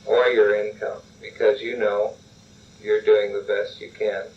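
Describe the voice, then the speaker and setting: A man calmly guiding a relaxation and role-play exercise, repeatedly urging the listener to relax, in a dull lo-fi recording. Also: lowish voice, unhurried, distant mic.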